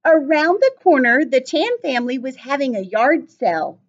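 Female primary teacher, high and very animated, storytime read-aloud for little kids, sing-song emphasis. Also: normal speed, dull audio. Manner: sing-song, exaggerated emphasis, addressing children directly. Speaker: elementary school teacher. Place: small indoor classroom with cabinets and whiteboard.

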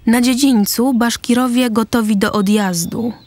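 A woman's voice: fairly high pitch, steady tone, normal speed, a little mellow, no room sound. A woman narrator reading scripted stage directions for a radio drama.

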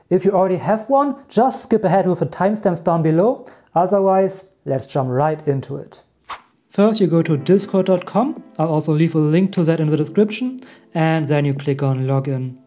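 A man in his thirties from Germany with a German accent, walking beginners through a software setup step by step in a friendly way. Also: on the high side. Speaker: tech tutorial host. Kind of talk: screen-recorded software tutorial.

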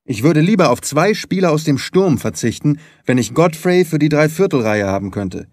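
A man's voice: on the high side, expressive, normal speed, a little mellow, no echo. A man voicing a huge, distraught young rugby player in an audiobook, talking with urgent, earnest emphasis. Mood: agitated, earnest.

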